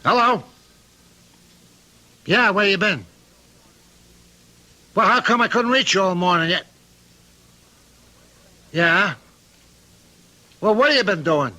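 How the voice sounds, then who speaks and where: A middle-aged crime boss and doting father in a radio drama. His voice is very forceful and bright, with a gruff, blustering delivery. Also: high voice, exaggerated voice. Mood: angry, exasperated. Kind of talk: old-time radio drama acting.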